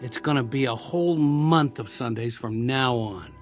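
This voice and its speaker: An actor playing a lonely, hopeful war survivor in a television drama, talkative and coaxing, with an American accent. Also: male voice, mid-range voice, soft background music, close to an everyday voice. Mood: hopeful, excited. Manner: talkative, coaxing, halting when moved.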